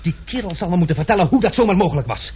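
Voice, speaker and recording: An actor playing an impulsive, quick-tempered gentleman traveller in a radio play, full of exclamations. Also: high-pitched, slight background noise. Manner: lively, exclaiming, blustery. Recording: muffled lo-fi archive radio play.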